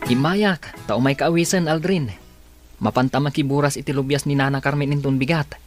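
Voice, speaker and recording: An actor playing a diligent workmate in a radio drama, urging and admonishing with an Ilocano accent. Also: male voice, medium pitch, fairly even intonation, brisk, over music, close to an everyday voice. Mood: friendly urging. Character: diligent male workmate, brisk and prodding.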